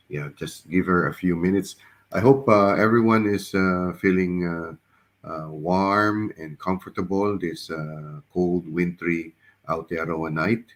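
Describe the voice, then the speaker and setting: A man hosting a casual online interview, his voice slightly raspy as he gets over the flu, speaking haltingly and often repeating words. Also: extremely low pitch. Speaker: podcast host. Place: remote video call.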